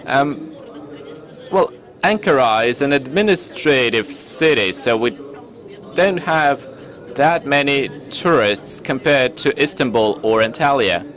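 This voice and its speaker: A man from Turkey whose first language is Turkish, giving a formal, measured panel presentation at a conference and sometimes searching for words. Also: medium pitch, fairly bright tone. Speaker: panel speaker presenting his city. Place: conference hall.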